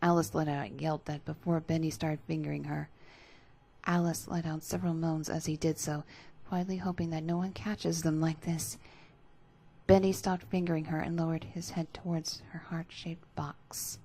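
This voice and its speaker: A woman gives a dramatic scripted narration of fan fiction. Her voice sits a little low and is distinctly husky and breathy. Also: level tone, normal speed, warm tone.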